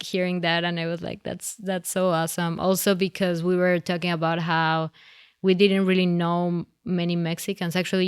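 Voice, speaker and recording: A woman's voice: lowish voice, clean tone, no echo, clean studio sound. A woman hosting a podcast, introducing her guests in a loose, friendly, laughing way. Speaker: podcast host. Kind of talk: casual interview.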